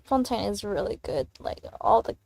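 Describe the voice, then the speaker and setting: A woman's voice: medium pitch, animated, normal speed, creaky, a little mellow, slight background noise. A woman casually commentating on a game she is playing, rambling and reacting aloud. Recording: game music behind the voice.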